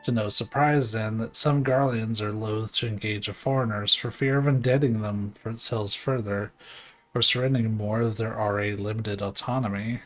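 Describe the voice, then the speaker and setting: A man voicing a courteous, dignified ruler in a video game, with formal, archaic, measured diction. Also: fairly low pitch, fairly even intonation, lightly acted. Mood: formal, earnest.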